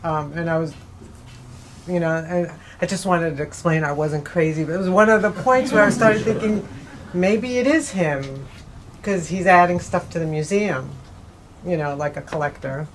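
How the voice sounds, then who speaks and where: A woman's voice: on the low side, moderate pace. A woman in an audience, casually explaining the thinking behind her question in an informal way. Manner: informal, explanatory, self-correcting. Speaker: audience member asking a question. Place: live event in a bookstore. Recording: faint room noise.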